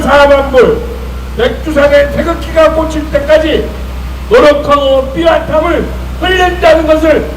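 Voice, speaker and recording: Guy at a protest yelling slogans, really high strained voice, echoey and noisy. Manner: declamatory, emphatic, drawn-out phrases. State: slightly strained voice. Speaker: political rally speaker. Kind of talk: impassioned protest speech. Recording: echoey, noisy outdoor loudspeaker, distant mic.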